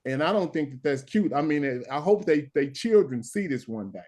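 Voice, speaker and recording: Halting, tired late-night stream host. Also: male voice, a little high, lively intonation, darkish tone. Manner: halting, many restarts and "hold on". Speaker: stand-in host of a live stream. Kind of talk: casual live-stream talk.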